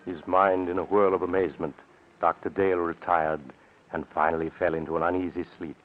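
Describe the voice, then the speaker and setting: A man's voice: low voice, level tone, a little fast, close to an everyday voice. An American man acting as an ominous storyteller, narrating a 1940s radio mystery on a dull old recording. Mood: ominous, suspenseful. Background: dramatic music.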